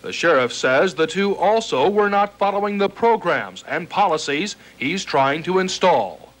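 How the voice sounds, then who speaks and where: A man's voice: high-pitched, animated, a little slow, rough recording. A man reading scripted television news narration as a reporter, on an old videotape recording. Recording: faint noise, old 1970s videotape.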